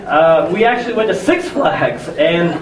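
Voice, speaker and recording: A hoarse young man preaching a sermon in a conversational, self-deprecating way, with many restarts. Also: fairly high pitch, animated, a little slow, a crowd in the background. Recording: echoey room from far off with faint ambience.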